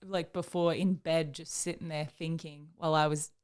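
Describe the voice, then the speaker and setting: A woman's voice: low voice, little pitch movement, normal speed, darkish tone, clear, resonant tone. A young woman chatting casually as a podcast co-host, blunt and sweary. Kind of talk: casual chat.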